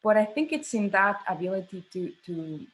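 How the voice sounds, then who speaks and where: A woman's voice: medium pitch, very animated, normal speed, a bit bright. Earnest woman. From Mexico. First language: Spanish. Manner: earnest, emphatic, searching for words with repeats. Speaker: guest, theatre artistic director. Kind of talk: conversational panel talk.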